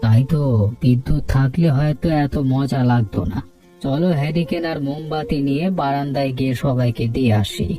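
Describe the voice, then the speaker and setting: A man's voice: mid-range voice, fairly quick, dark tone, faint music behind, lightly acted. A man voicing an easygoing village older brother in a cartoon story. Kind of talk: Bengali cartoon story dialogue.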